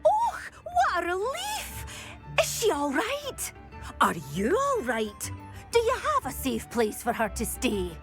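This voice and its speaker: A woman's voice: very high-pitched, huge pitch swings, takes it slowly, music in the background, exaggerated voice. A woman playing a kindly, quaint, tender-hearted animal lover in a video game, fond and fussing. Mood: worried, relieved. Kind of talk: video game character dialogue.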